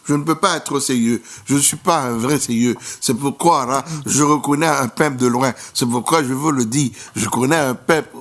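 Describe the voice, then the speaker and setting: A man's voice: medium pitch, moderate pace, hardly any pauses, fairly bright tone. A man hosting a podcast, wrapping up the episode in a playful way and dropping in English words. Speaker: podcast host. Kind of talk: podcast sign-off.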